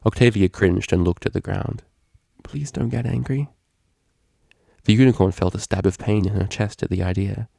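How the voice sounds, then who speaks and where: A man's voice: deep voice, flat delivery, fairly quick, vocal fry, few pauses, dark tone, dry recording, studio quality. A man reading a story aloud as a scripted narration. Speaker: fan fiction reader.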